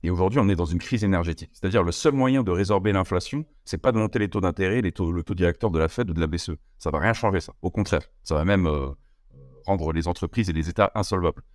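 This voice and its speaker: Low-voiced young Frenchman, quick and hesitant. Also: dry recording, clean studio sound. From France. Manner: hesitant, many fillers and restarts. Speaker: interview guest, entrepreneur. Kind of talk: casual interview talk.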